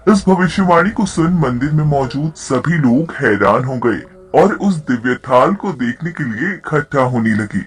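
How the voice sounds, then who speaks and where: A man's voice: mid-range voice, expressive, normal speed, hardly any pauses. A man narrating a storybook tale from a script, as the narrator of the story.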